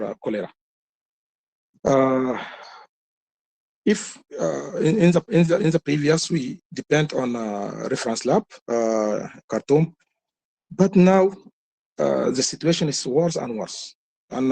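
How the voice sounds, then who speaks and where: A man with a Sudanese accent, a health worker speaking on a webinar, giving a halting, repetitive report that leads into questions. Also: mid-range voice, creaky, a little mellow. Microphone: webinar call.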